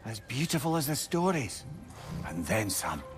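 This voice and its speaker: An actor playing a witty, loyal advisor in a video game, with a Scottish accent and a wordy, wry, teasing manner. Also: male voice, medium pitch, sing-song, normal speed, over music, lightly acted. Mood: teasing, chatty. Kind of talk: dramatic video game acting.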